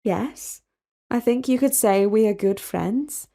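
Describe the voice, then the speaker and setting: A woman's voice: on the high side, takes it slowly, vocal fry, mellow, heavily acted. A woman voicing a sceptical, down-to-earth young female college student in a storybook, with blunt, colloquial speech. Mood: amused. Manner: colloquial, blunt, casual wording.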